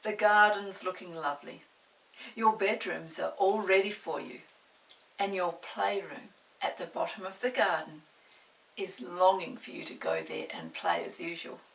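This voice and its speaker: A woman voicing a kindly, brisk mother of two schoolchildren in a storybook, speaking loudly and affectionately. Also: lowish voice, expressive, gravelly, full character voice. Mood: warm, pleased. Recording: hissy, distant room microphone.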